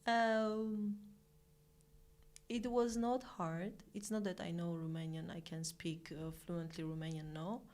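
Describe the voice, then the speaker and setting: A young woman from Armenia speaking English with an Armenian accent, a guest chatting casually in an interview, halting often with fillers and restarts. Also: on the low side, fairly even intonation, a little mellow.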